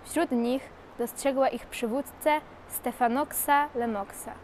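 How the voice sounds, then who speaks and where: High-pitched female story narrator. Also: steady tone, slow pace, a little mellow. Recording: some background noise and ambience.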